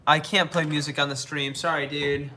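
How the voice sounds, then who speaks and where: A young American man livestreaming from Asia, reading viewer comments aloud and answering them in a casual, halting way. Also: a little high, flat delivery, pushed and bright. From United States. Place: living somewhere in Asia.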